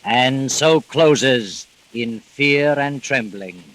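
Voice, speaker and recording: Formal male radio narrator over loud music. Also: medium pitch, very slow, poor audio. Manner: dramatic, formal. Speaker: announcer and narrator. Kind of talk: 1940s radio scripted narration.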